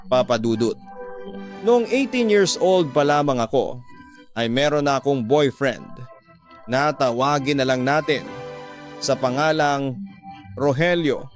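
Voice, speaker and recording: A Filipino man with an accent from the Philippines, reading a scripted dramatic story as a radio drama host. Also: on the high side.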